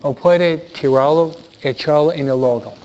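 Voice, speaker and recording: A priest delivering an earnest sermon in Spanish, often pausing for rhetorical questions. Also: male voice, a little high, a little mellow. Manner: earnest, preaching with repeated pleas and rhetorical questions. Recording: dull lo-fi sound.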